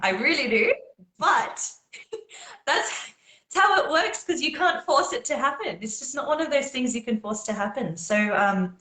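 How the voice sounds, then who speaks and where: A woman whose first language is Gujarati speaks as a guest in a relaxed live chat. Her voice sits a little high, and she sounds earnest and encouraging, laughing easily between thoughts. The sound has a noticeable room echo. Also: moderate pace. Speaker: guest singer. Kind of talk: casual live video chat.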